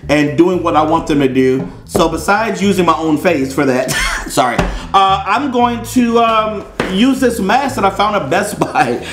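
A middle-aged man with an American accent hosting a casual, comedic unboxing in a playful, theatrical way. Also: sits high. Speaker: unboxing host. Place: small indoor studio set. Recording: roomy, echoey indoor sound.

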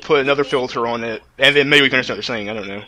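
A man's voice: mid-range voice, rapid-fire, slight background noise. A young man chatting casually as a bemused, questioning co-commentator. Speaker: co-commentator reviewing an amateur anime parody. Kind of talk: casual reaction chat. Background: soundtrack of a loud anime video.

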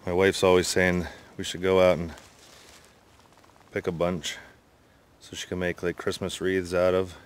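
Man, deep voice, middle-aged, easygoing rambling, outdoor forest, handheld phone. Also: monotone, a little slow, long pauses, smooth. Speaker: hiking vlogger. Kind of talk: casual narration on a hike.

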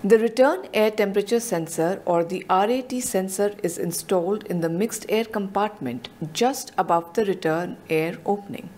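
A woman's voice: mid-range voice, a little mellow, slight background noise. Woman reading a technical voice-over. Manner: measured, explanatory reading of technical terms. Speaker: technical narrator.

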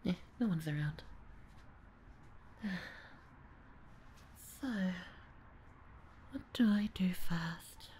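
A woman playing a young, lazy and easily flustered babysitter in an ASMR audio roleplay, with a breathy, hesitant delivery. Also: lowish voice, dark tone, slight background noise. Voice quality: husky, breathy, often dropping to a near-whisper. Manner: hesitant, muttering, sighing, trailing off. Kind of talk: ASMR audio roleplay, solo character acting.

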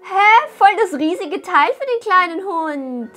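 A woman hosts a casual reaction chat, expressive and full of exclamations. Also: very high-pitched, unhurried. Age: 20s. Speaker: video host. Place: small decorated room indoors. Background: background music.

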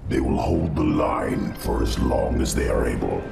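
A man voicing an ancient undead insect lord in a fantasy game, grave and ominous, with a gravelly processed monster voice. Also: heavily acted. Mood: grave, wary. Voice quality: very deep, gravelly, rumbling. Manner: slow, ominous, formal delivery. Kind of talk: fantasy video game voice acting. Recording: echoing, loud music behind. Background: game music.

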